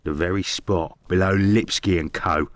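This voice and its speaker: An actor playing a gossipy working-class Victorian barkeeper in a video game, blunt and colloquial, with a London accent. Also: male voice, deep voice, steady tone, moderate pace, no room sound, lightly acted. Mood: gossipy, scornful. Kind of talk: video game character dialogue.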